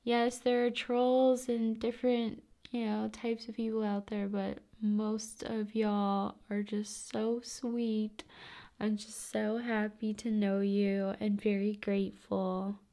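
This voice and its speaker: A woman speaking in a soft, breathy, often whispery voice, chatting gently to viewers in an ASMR style. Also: medium pitch, level tone, takes it slowly. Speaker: ASMR video creator and host.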